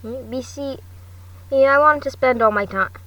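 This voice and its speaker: A woman's voice: sits high, unhurried, pushed and bright, lo-fi sound. A woman narrating fan fiction as an amateur reader, often stumbling over words and restarting. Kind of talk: amateur audiobook narration with chatty asides. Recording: distant home mic, faint noise.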